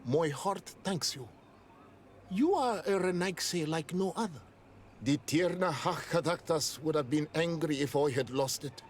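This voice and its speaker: A man's voice: on the high side, expressive, unhurried, slight background noise. A man voicing a devout, formal native islander in a fantasy video game. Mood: formal, grateful. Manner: formal, solemn.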